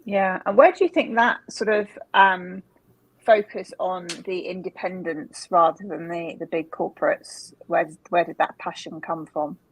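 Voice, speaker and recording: A woman's voice: lowish voice, steady tone, a little slow, slightly rough, dry recording, low-quality recording. A woman hosting an interview, chatting casually with many fillers and restarts. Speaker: podcast host.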